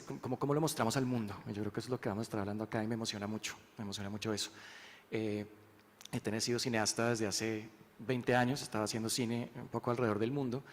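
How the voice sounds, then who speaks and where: A man's voice: medium pitch, a little hiss or hum. A man from Colombia introducing himself on a panel, enthusiastic and often restarting his sentences. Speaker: panelist, co-director. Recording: reverberant hall with faint ambience.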